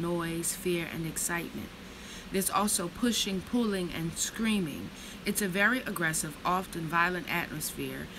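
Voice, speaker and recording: A woman in her thirties reads slowly and reflectively from a book, then slips into blunt, profane, casual storytelling. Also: on the low side, noisy background. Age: 30s. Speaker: vlogger reading from a book.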